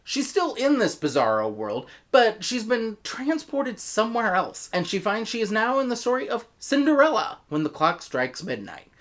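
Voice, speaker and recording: A woman with an American accent giving a scripted review in an animated manner, with bursts of mock-exasperated emphasis. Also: fairly high pitch, moderate pace, few pauses. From United States. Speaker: audio drama reviewer.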